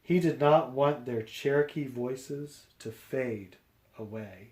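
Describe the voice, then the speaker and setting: A man reading a picture book aloud to young children, explaining deliberately phrase by phrase. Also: medium pitch, darkish tone, reverberant.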